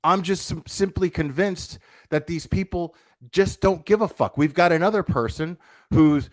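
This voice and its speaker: A man's voice: high-pitched, normal speed, no room sound. A man delivering a livestreamed opinion rant in a blunt, profane, confrontational way, repeating himself for emphasis and asking rhetorical questions. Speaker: online commentator, livestreamer.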